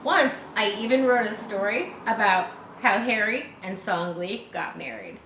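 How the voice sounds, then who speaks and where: A woman reading a children's chapter book aloud, animated, with chatty asides to young listeners. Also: medium pitch, unhurried, reverberant, slight background noise, poor audio. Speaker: reader.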